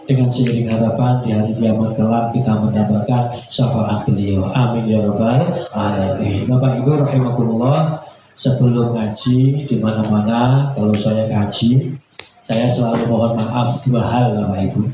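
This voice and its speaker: A man's voice: mid-range voice, mellow. A man, giving an informal Islamic sermon in a folksy, humble, joking way, chatting with his listeners over a public address microphone. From Indonesia. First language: regional Indonesian village language. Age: 40s. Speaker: Islamic preacher. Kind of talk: informal religious sermon to a congregation. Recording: very echoey, muffled, noisy PA recording.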